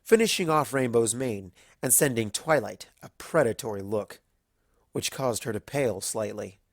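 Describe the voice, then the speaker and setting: A male narrator reads a story from a script. His voice is middling in pitch and very dry, with little room sound. The delivery is that of storytelling. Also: lively intonation, moderate pace, dry recording. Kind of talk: scripted storytelling, fan fiction reading.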